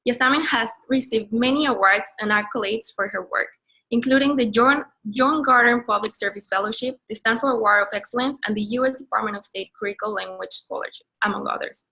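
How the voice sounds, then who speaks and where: A woman reads a guest's introduction for a webinar. Her voice is bright and fairly high, and her reading is careful but uneven, stumbling and restarting on some words. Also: brisk, poor audio.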